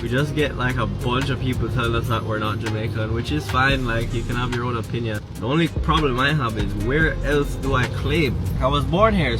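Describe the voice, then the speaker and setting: Man talking over loud music. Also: mid-range voice. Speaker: TikTok creator. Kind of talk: casual talk.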